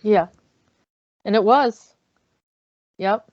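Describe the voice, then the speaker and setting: A woman's voice: medium pitch, extremely slow, no room sound. A woman hosting an online show in a casual, conversational way, often rephrasing herself as she goes. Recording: dry, slightly dull audio.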